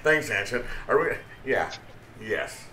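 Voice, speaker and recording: A man's voice: fairly low pitch, sing-song, slow, bright, forceful tone, reverberant. A middle-aged man hosting a casual group game raid on stream, chatting playfully with friends and laughing easily. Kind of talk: casual gaming chat with friends. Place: home streaming room.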